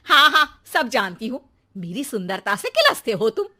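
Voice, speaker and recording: A woman voicing a vain, quick-tempered, makeup-obsessed mother-in-law with a huffy, haughty, scolding manner. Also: on the high side, big pitch swings, pushed and bright, exaggerated voice. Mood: huffy, defensive.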